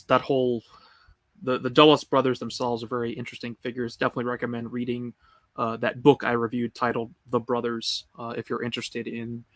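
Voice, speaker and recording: Monotone man explaining in a dry room. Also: mid-range voice, no echo. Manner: measured, explanatory, with fillers. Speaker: guest writer. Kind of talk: casual live-stream discussion.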